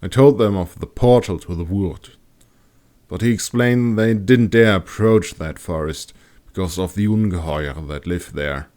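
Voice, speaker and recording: Man acting a male expedition leader, low pitch, curious, assured, explanatory, fantasy audiobook dialogue. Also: measured pace, a little mellow. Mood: explaining.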